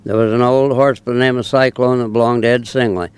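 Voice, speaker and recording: A man's voice: mid-range voice, fairly even intonation, fast, clean tone. An older man with a rural Northern Californian accent, an old-timer sharing folksy banter and anecdotes. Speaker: old-timer speaker of a local folk lingo.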